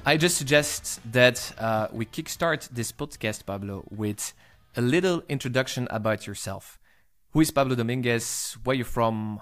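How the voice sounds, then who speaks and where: Halting, mid-pitched male interviewer. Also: a bit bright, soft background music. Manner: hesitant, halting phrasing with many fillers. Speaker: podcast interviewer. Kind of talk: casual interview questions.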